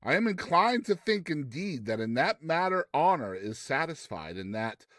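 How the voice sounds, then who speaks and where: A man reading a classic story aloud as a theatrical, expressive narrator with deliberate delivery. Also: on the high side, no room sound, studio quality. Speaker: narrator, reader.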